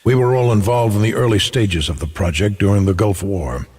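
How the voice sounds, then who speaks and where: An actor playing a secretive old man of influence, speaking in a formal, measured, courteous manner. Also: fairly low pitch, darkish tone, husky. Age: older. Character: old, formal, secretive male power broker. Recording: noisy background ambience.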